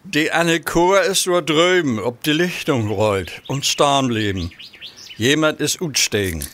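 Actor playing a bright-voiced Low German coachman. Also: lively intonation, slow pace, a little hiss or hum. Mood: wary. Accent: Low German, northern Germany. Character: plain-spoken coachman, wary but polite. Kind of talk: audio drama acting.

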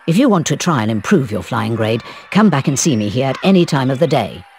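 A woman playing a strict but kindly older British flying teacher in a video game, with a crisp schoolmistress manner. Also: rumbling low, very animated, brisk, noisy background, heavily acted. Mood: approving. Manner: crisp, commanding, schoolmistress delivery. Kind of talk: video game cutscene acting.

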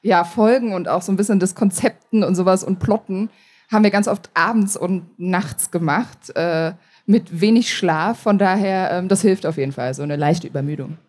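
A woman's voice: lowish voice, normal speed, a little mellow. A woman giving casual, conversational answers as a guest in a live interview. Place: stage at a book fair.

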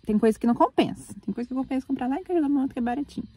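A young Brazilian woman with a Brazilian accent, chatting casually to camera from a car on the road, rambling and chatty with bursts of excited talk. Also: sits high, rapid-fire, slightly rough, no echo. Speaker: vlogger. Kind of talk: casual vlog chat to camera.

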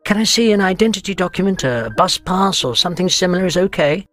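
A man doing a brisk, suspicious receptionist. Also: high-pitched, lively intonation, fairly bright tone, soft background music, voice pushed up. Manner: brisk, questioning. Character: thin adult male hostel receptionist, brisk, suspicious.

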